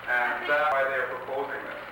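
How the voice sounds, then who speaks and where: A man putting a request firmly and in a measured way to a meeting. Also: medium pitch, level tone. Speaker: conference delegate. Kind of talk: meeting argument. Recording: echoey hall from far off, noisy 1980 archive.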